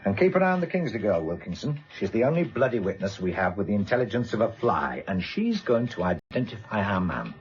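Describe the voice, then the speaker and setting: Wry senior British detective inspector, low-voiced, swinging from drawl to bark; dull radio-drama sound. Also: male voice, big pitch swings, a little fast, hardly any pauses, a little hiss or hum. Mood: brisk, commanding. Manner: sardonic, blunt, probing questions. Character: wry, probing senior male police inspector, gruffly sardonic. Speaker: police inspector questioning suspects and directing subordinates.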